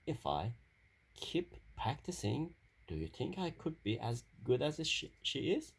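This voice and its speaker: An earnest young girl from a children's storybook, read by a man. The voice is husky and creaky, with a plaintive manner and swooping pitch. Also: very animated, a little slow, exaggerated voice. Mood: wistful. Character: young girl, earnest, torn music student and gymnast. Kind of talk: children's storybook character reading.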